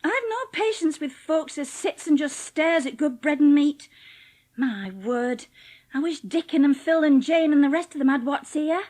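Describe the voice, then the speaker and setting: A woman's voice: high-pitched, expressive, normal speed, a little mellow. A woman playing a cheerful, plain-spoken young housemaid with a Yorkshire accent in a dramatised audiobook. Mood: scolding, earnest. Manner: chatty, blunt, homely dialect speech.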